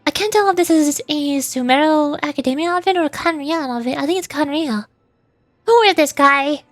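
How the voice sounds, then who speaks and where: A woman with a high voice chats casually as a game streamer. She is close on the mic in a dry space, with soft music behind her. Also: moderate pace, no echo. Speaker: VTuber streamer.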